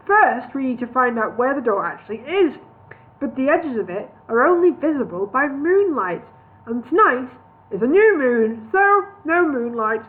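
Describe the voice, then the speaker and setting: A woman voicing a deaf, querulous old male wizard with a mock Newcastle accent, shouting and sulky. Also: very animated, normal speed. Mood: rambling. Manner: shouting, sulky, squawking high. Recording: tinny phone-like audio.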